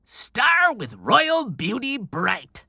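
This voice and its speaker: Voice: mid-range voice, wildly swooping pitch, very slow, creaky, sharp tone, husky, no room sound, studio quality, heavily acted. An actor playing a frantic, reckless drunken Christmas elf in a dramatized audiobook, muttering and crying out theatrically. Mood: drunken singing. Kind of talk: dramatized audiobook character acting.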